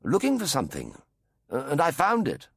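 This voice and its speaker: Plucky young heroine, raised defiant voice, played by a male reader, husky, dry. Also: on the high side, wildly swooping pitch, normal speed, fairly bright tone, no room sound, voice pushed up, full character voice.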